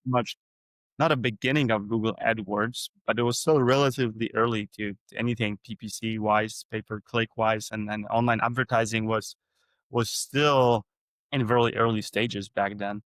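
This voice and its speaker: A man's voice: fairly low pitch, fairly even intonation, normal speed, a bit bright, dry recording, low-quality recording. A man from Europe hosting a podcast, asking curious questions in a chatty way. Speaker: podcast host. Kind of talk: casual interview talk.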